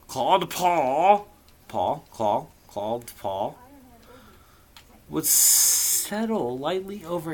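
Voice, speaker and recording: A man's voice: a little high, slight background noise. A man casually reading a novel aloud, theatrical and playful, dropping in irreverent asides of his own. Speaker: amateur reader of a novel.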